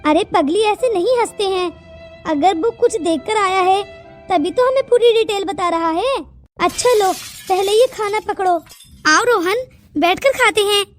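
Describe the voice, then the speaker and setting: A woman voicing a fretful, gently scolding village mother in a cartoon moral story. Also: very high voice, measured pace. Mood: gently chiding. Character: worried but gentle village mother, middle-aged. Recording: music behind the dialogue.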